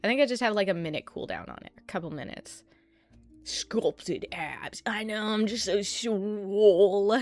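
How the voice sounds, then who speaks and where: A woman streamer talks casually to her chat with a voice that still sounds sleepy. Her speech is loose and chatty, and she swears freely and laughs often. Soft music plays faintly underneath. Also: medium pitch, expressive, unhurried. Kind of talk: casual livestream chat while setting up a 3D model.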